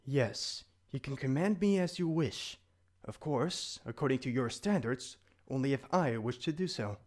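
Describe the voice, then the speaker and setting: A man's voice: mid-range voice, lively intonation, unhurried. An actor playing a stern, protective male demon guardian who speaks in stiff, archaic, formal diction in an audio drama. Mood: measured, formal.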